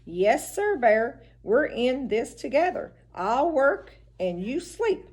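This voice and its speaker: A woman's voice: high voice, big pitch swings, slow, darkish tone, pitched above the performer's own voice, heavily acted. A woman voicing a cunning, cheery male hare with a persuasive manner in a storybook read-aloud.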